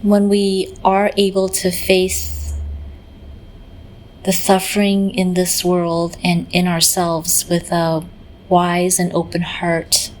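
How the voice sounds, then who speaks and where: A woman guides a meditation in a gentle voice on the lower side. Her delivery is very even, with little rise or fall, and she leaves pauses inside her phrases. A light but steady background noise runs under the recording. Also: poor audio. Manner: gentle, unhurried, pausing mid-phrase. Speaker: meditation teacher.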